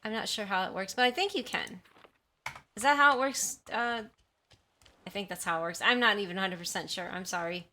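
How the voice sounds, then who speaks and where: A woman's voice: mid-range voice, fairly quick, bright, forceful tone, slight background noise. A woman livestreamer chatting casually with her viewers, rambling and often apologizing, on a sensitive desktop mic in a room at home. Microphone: desktop condenser mic at high sensitivity.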